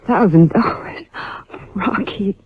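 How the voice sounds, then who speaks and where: An actress playing a breathy, adoring sweetheart in an old-time radio drama. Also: female voice, mid-range voice, takes it slowly. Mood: dreamy, romantic. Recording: dull vintage radio recording.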